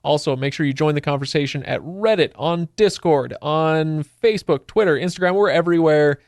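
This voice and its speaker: A man's voice: fairly high pitch, a little fast, a little mellow, no room sound. A man hosting a podcast in a casual, joking, conversational style. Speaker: podcast host.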